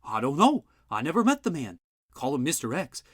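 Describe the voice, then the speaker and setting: A man voicing a gruff, blustering older male inventor who talks loud and boastful. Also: on the high side, big pitch swings, fairly quick, dry recording, studio quality, pitched above the performer's own voice, exaggerated voice.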